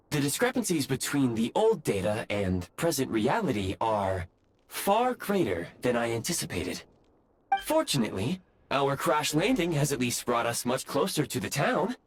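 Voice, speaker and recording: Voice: medium pitch, big pitch swings, moderate pace, slight background noise, close to an everyday voice. An actor voicing a polite, dutiful robot guide in a video game, speaking in a formal, precise and courteous way. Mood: puzzled, apologetic. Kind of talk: scripted video game voice acting. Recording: music behind the voice.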